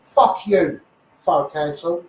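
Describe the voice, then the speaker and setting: A Scouse man with a notably high voice rants like a street campaigner on a livestream. He is combative and blunt, swears, and hammers phrases by repeating them. The sound is echoey and distant, with clear noise behind him. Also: slow, darkish tone. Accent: Scouse (Liverpool). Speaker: campaigner, live streamer. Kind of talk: impassioned live rant to social media.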